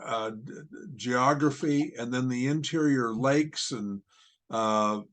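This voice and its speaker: A man hosts a podcast interview at middle pitch. He talks in a rambling way, circling back with many fillers. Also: takes it slowly, fairly bright tone. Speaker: podcast host.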